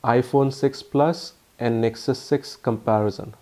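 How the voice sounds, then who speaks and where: A man with an Indian accent giving a casual, halting phone review full of ums and uhs. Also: medium pitch, fairly even intonation, dark tone. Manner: earnest, explanatory. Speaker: tech reviewer.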